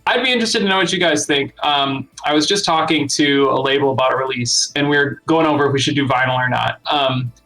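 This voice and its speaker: A man's voice: medium pitch, fast, few pauses, pushed and bright, rough recording. A man chatting informally as a music producer on a talk show. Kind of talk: casual chat.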